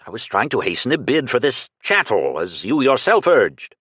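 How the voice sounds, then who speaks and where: A man voicing a nervous, fawning auctioneer who pleads and chants bids in a higher voice. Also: sing-song, moderate pace, a bit bright, husky, no room sound, clean studio sound. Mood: pleading. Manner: pleading, wheedling, sing-song chanting.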